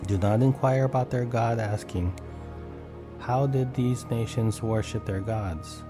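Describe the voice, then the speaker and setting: A man's voice: medium pitch, a little slow, music in the background. A man reading Bible text aloud as scripted narration, occasionally stumbling over the words. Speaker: scripture reader.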